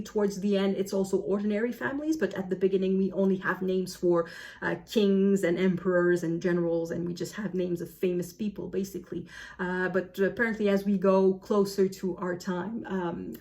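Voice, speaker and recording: Chatty woman vlogger, medium pitch. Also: a little mellow. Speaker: book vlogger. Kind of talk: casual chat to camera.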